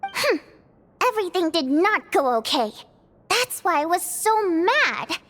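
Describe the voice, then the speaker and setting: A woman voicing a lively, spirited young stage performer in a video game, expressive and dramatic, quick to complain. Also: very high voice, heavily acted. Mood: indignant, exasperated.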